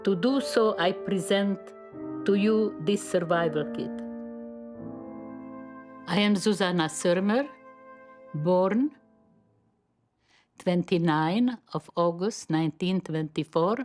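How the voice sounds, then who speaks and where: An elderly woman in her nineties from Slovakia, with a Slovak accent, giving personal testimony in measured, halting phrases. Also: fairly low pitch, a little mellow, soft background music. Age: 90s. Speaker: Holocaust survivor and memoirist. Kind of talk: personal testimony, partly read.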